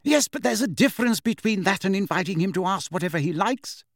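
Guy doing an indignant worried mum from a storybook, high voice, swoops about, scolding. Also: expressive, fairly quick, dry recording, clean studio sound. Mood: pleading. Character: fierce, anxious, protective middle-aged mother.

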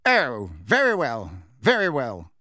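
A man's voice: sits high, huge pitch swings, a little slow, a bit bright, no room sound, clean studio sound, pitched above the performer's own voice, exaggerated voice. A man voicing a pompous, impatient older government minister in an audiobook, lofty and supercilious. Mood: snappish. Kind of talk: audiobook character voice.

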